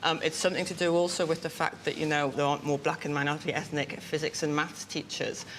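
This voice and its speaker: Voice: medium pitch, little pitch movement, quick pace, fairly bright tone, slight background noise. An engineer in an informal interview, explaining things thoughtfully and encouragingly in plain steps.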